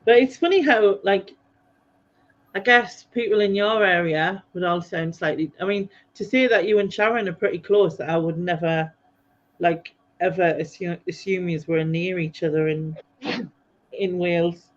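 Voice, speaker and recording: A woman's voice: fairly low pitch, normal speed. A woman from North East England chats away as a podcast host, her speech full of fillers and restarts. Her accent is North East English, and the sound is a little muffled and lo-fi. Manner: chatty, rambling, many fillers. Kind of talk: casual chat.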